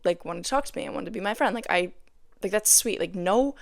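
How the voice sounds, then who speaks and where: A young woman hosting her own podcast, talking casually and sounding tired, with a raspy voice and lots of "like" in her speech. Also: medium pitch, expressive, quick pace, no room sound, clean studio sound. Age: young adult. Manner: chatty, peppered with "like". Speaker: podcast host. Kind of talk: casual solo podcast chat.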